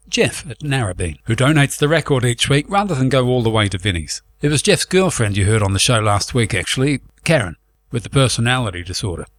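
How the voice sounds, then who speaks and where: A man playing a breezy, tactless radio presenter in a comedy show. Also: medium pitch. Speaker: radio host and announcer.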